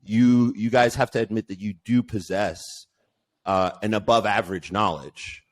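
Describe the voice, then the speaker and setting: A man with a lowish voice talks in a loose, rambling way. He restarts his sentences often and teases the others in the group. It sounds like a relaxed podcast conversation. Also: normal speed. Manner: rambling, many restarts, teasing. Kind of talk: casual panel chat.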